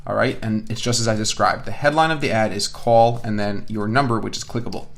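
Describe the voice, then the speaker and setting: A man teaching an online software lesson, explaining casually and thinking aloud as he works through the steps. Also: lowish voice, fairly even intonation, brisk, gravelly. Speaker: online course instructor. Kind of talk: screen-share software tutorial narration. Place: indoors at a computer, voice-over of screen recording.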